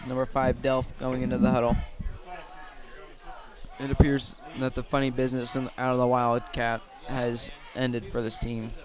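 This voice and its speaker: A male play-by-play commentator describes a football game live for radio. His voice sits in a medium register with little melodic movement. He reports in short, measured, matter-of-fact phrases. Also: medium pitch, monotone.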